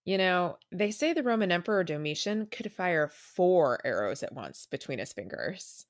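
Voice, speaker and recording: A woman's voice: on the low side, very animated, normal speed, no room sound, studio quality. An actress voicing a cocky young female archer sidekick in a motion comic, sardonic and teasing. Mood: breezy.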